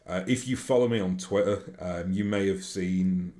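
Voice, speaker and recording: A man's voice: low voice, moderate pace, warm tone. A man with a broad Yorkshire accent, talking casually to his viewers in a rambling way with many fillers and restarts. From Yorkshire, England. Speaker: YouTube host. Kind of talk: casual solo monologue.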